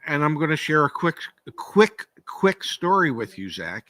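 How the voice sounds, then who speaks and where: A man's voice: a little high, big pitch swings, a bit bright, no room sound. Older man, dry close sound. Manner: measured, hesitant, many ums and restarts. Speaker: podcast co-host. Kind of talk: casual live-stream talk.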